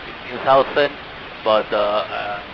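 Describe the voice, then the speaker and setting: A man speaking as a pilot in broken, halting phrases over a radio transmission. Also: on the low side, fairly even intonation. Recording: very noisy, muffled, broken cockpit radio.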